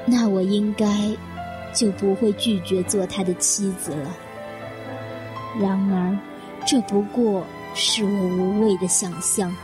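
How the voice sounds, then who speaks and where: A woman's voice: medium pitch, natural voice. Brooding woman narrator over music, acted. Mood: bitter, wistful. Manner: brooding, wistful, introspective. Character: bitter, self-deprecating plain career secretary, inner monologue. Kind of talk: audio drama monologue. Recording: music behind the voice.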